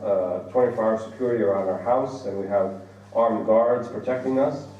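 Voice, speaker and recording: A man's voice: medium pitch, warm tone, highs cut off. An American man giving a religious lecture, explaining Arabic terms with vivid everyday examples, recorded from a distance in an echoey hall. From United States. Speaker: Islamic lecturer. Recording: echoey hall, distant mic, faint noise.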